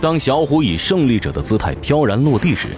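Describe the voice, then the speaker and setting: Highly animated male dramatic narrator. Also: a little fast. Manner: theatrical, suspenseful storytelling. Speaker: narrator, professional voice actor. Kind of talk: dramatic scripted audiobook narration. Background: music bed.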